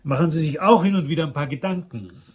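An actor playing a self-important, chatty shopping center manager in a German crime radio drama, jovial and official in manner. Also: male voice, on the high side, quick pace, a little mellow, slightly rough, muffled recording. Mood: businesslike.

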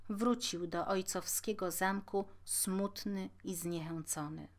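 A woman narrating a fairy tale from a script in a measured, expressive storytelling manner. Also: medium pitch. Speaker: audiobook narrator.

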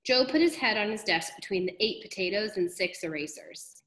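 A woman reads a children's storybook aloud in an echoey room. Her narration is expressive and deliberate, with a mid-pitched voice.

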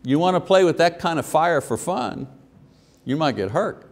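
A man in his fifties preaching a sermon at a church pulpit, animated and asking the congregation questions. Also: fairly high pitch, normal speed. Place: church sanctuary at a wooden pulpit. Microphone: lapel mic. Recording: long hall reverb.

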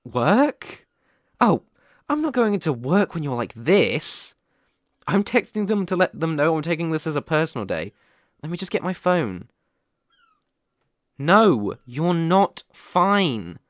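A man's voice: on the high side, sing-song, slow pace, long pauses, dry recording, clean studio sound. A man playing a caring, worried boyfriend in an ASMR roleplay, talking in a soothing, tender, reassuring way. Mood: firm, caring. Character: caring, worried boyfriend fussing tenderly over sick partner.

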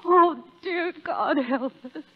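An actress playing a devoted, anxious young wife in 1930s radio melodrama, tender and pleading. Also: female voice, very high-pitched, slow. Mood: desperate. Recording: dull, hissy 1930s radio broadcast.

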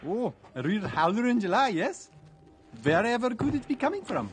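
Sing-song Scandinavian shopkeeper, swooping pitch. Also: male voice, very animated, normal speed, background noise. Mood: chirpy, insistent. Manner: sing-song, chirpy, politely insistent. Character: big, cheerful, salesman-like male trading post keeper. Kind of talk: animated film acting. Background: music.

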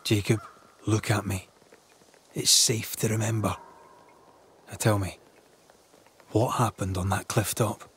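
Steady, compassionate male ghost hunter. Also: on the low side, slightly rough, heavily acted. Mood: firm, coaxing. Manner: calm, coaxing, deliberate. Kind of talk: dramatic video game acting.

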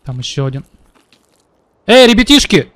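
A man's voice: sits high, sing-song, normal speed, noisy background. A man in his thirties streaming a game from home, joking with his chat and talking at a desk mic. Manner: joking, talks to chat, puts on broken English and mock greetings. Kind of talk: live game stream commentary. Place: home room at a computer.

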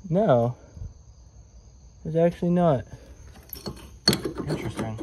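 A man casually vlogging as he explores old airplanes in a rural field, excited, swearing and talking to his viewers on a phone camera. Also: fairly high pitch, slow pace. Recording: phone audio outdoors with insect noise. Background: insects and crickets outdoors.

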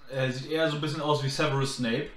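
A man's voice: medium pitch, steady tone, fast talker, a bit bright. A young adult man co-presenting a casual unboxing, recorded by a camera mic a short distance away. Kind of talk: casual unboxing chat, sometimes reading aloud. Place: small room indoors, on a sofa. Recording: roomy echo, faint background noise.

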